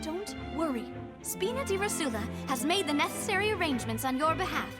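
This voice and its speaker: A self-assured young lady from a video game, with a very high voice. She is polite and playful, coaxing her listeners with poise while music plays loudly underneath. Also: sing-song, brisk. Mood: coaxing, confident.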